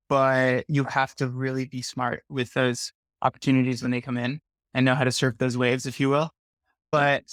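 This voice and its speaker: A man's voice: mid-range voice, a bit bright, no room sound, studio quality. A young man from Colombia, an industrial designer, chatting casually and rambling with many "like"s. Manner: rambling, heavy use of "like". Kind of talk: casual video chat.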